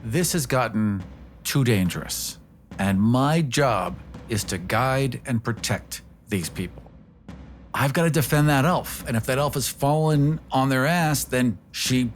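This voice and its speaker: A man's voice: mid-range voice, unhurried, faint music behind. A man playing a tabletop game, casually describing his character's moves and rolls. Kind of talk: casual tabletop role-play chat.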